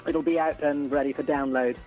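A man's voice: a little high, steady tone, brisk, lo-fi sound, faint music behind. A man chatting casually as a band frontman in a radio interview, hesitantly repeating words as he starts his phrases.

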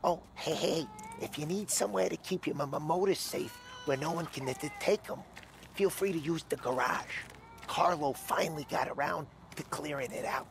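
Stuttering garage mechanic, nervous, eager, game dialogue, faint background noise. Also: male voice, on the high side, normal speed, vocal fry. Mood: helpful. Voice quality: heavy stutter. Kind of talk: cinematic video game dialogue.

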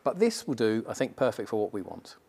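A man's voice: on the low side, sing-song, brisk, creaky, a little mellow, husky. A man in his forties, co-hosting a van build and explaining the fitting in an easygoing, practical way, in a large indoor workshop. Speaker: van conversion co-host. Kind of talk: casual workshop chat.

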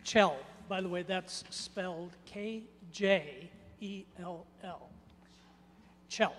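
Older guy testifying at church, high-ish voice, handheld mic, bit halting, hall reverb. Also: a bit bright. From Norway. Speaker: church member giving a testimony. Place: church sanctuary.

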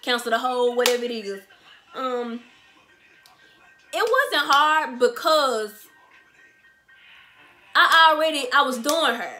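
A twenty-year-old woman from Memphis with a Southern accent, talking straight to camera in an animated, slangy way and repeating herself for emphasis. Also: high voice, takes it slowly, long pauses, music in the background. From Memphis, Tennessee. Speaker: vlogger, small business owner. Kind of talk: casual Q&A vlog to camera. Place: bedroom, sitting on a bed, indoors.

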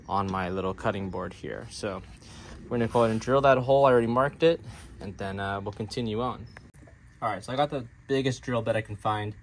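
A middle-aged man giving casual how-to narration outdoors, informally thinking aloud as he explains. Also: fairly low pitch. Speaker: DIY vlogger. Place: outdoors in a residential driveway. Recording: clear background noise with clicks.

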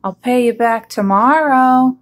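Female storybook goose, bewildered, pleading, high-pitched, stretched words played by a woman. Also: a little slow, lightly acted.

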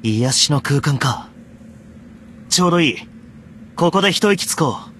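Serious young anime knight, animated. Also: male voice, on the high side, measured pace, a little hiss or hum. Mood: composed. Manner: commanding, earnest, urgent. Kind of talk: anime game voice acting. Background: loud background music.

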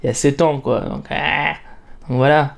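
A man's voice: fairly high pitch, steady tone, moderate pace, creaky. A young man giving casual gameplay commentary in a playful, self-mocking way. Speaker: let's play gamer.

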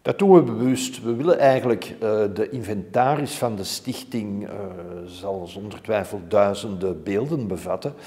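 A man's voice: lowish voice, moderate pace, few pauses, warm tone. A man in his sixties with a Flemish accent, giving informative interview answers as a curator in a reverberant museum room. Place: indoor museum room hung with framed art. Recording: hollow room with long reverb.

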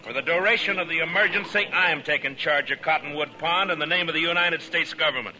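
An actor playing a firm, dry Old West marshal in a 1950s radio western, speaking with deliberate authority. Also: male voice, a little high, close to an everyday voice. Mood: stern, commanding. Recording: hissy 1950s radio broadcast.

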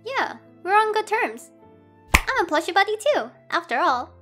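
Woman voicing a small, high-voiced chirpy star creature. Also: sing-song, moderate pace, fairly bright tone, soft background music, full character voice. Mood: cheerful, casual. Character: small, cheerful childlike wish-granting star creature. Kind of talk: animated comic dub acting.

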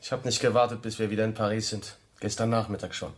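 Man acting an anguished young lover, halting. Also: fairly low pitch, fairly even intonation, lightly acted. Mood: earnest confession. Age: young adult. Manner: halting, repeats phrases, pleading. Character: young honest architect, anguished, self-doubting lover. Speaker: actor in a radio drama. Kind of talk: German radio play acting, intimate dialogue.

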